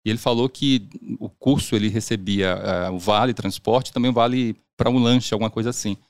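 A man, a guest on a podcast. He tells his stories in a relaxed, chatty way, often tagging his phrases with "né?". The recording is close and dry, with no room sound. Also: lowish voice, brisk, dry recording. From Brazil, born in Sergipe, raised in Salvador. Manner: storytelling, frequent "né?" tags and laughs. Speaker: guest, pension specialist. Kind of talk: casual podcast interview.

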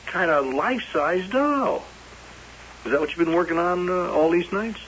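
An actor playing a skeptical, anxious, plain-spoken carnival worker in an old-time radio drama. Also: male voice, high voice, animated, normal speed, fairly bright tone. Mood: curious. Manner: plain-spoken, worried, incredulous. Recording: muffled, hissy old radio broadcast.

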